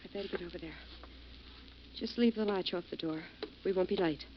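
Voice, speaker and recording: A woman's voice: on the low side, a little fast, background noise, lightly acted. A woman playing an anxious, impatient wife. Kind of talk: 1940s radio acting. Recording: dull old radio broadcast.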